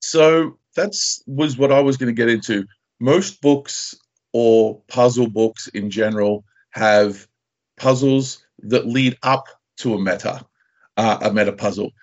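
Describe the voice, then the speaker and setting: A man's voice: mid-range voice, steady tone, unhurried, a little mellow. Man, podcast host, conversational interviewing, mid-range voice, slightly lo-fi recording. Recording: slightly dull, lo-fi audio.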